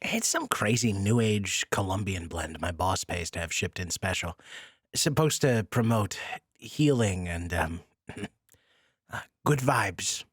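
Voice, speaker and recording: Male actor as dorky gentle counselor, slow, hesitant, self-deprecating, husky, very dry sound, audio drama. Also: low-pitched, animated, no echo, exaggerated voice. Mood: awkward, friendly. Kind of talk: naturalistic audio drama acting.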